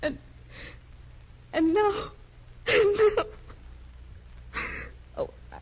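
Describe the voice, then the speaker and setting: A woman playing an anxious, distraught wife with a halting, despairing delivery in a 1930s radio drama. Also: very high-pitched, lively intonation, full character voice. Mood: tearful. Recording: noisy, muffled 1930s radio recording.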